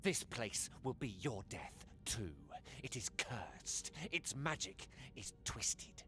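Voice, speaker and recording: A man's voice: medium pitch, sing-song, slow pace. A man voicing a captured cultist creature in a video game, fearful yet fanatical, with a hissing, whispery delivery. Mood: defiant, reverent. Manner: fanatical, dramatic.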